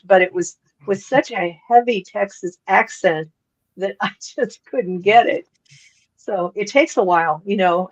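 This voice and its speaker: A woman's voice: fairly low pitch, lo-fi sound. A woman co-hosting an interview, telling stories in a chatty way with lots of fillers. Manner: chatty storytelling with many fillers. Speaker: co-host. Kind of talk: casual interview chat.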